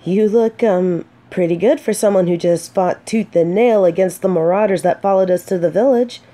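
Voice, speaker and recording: A woman playing a gentle, earnest female knight in a fantasy romance audio drama, speaking softly with hesitant, dramatic pauses. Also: mid-range voice, natural voice. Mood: shy, fond. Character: gentle, earnest female knight consoling a despairing blind companion.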